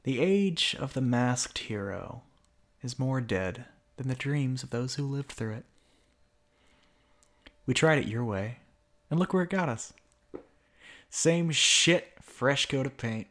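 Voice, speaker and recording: A man's voice: medium pitch, lively intonation, slow, long pauses, a bit bright. A man playing a bitter, mocking villain in an ASMR roleplay monologue, speaking theatrically with sardonic asides and often dropping toward a whisper. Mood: contemptuous, menacing. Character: bitter, mocking male villain revolutionary monologuing at a fallen hero. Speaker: voice actor in an audio roleplay.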